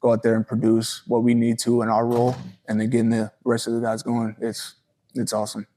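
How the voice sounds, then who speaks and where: Young man, mellow tone, even pitch, respectful, measured, athlete being interviewed. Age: early 20s. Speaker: college football player being interviewed. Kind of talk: casual sports interview.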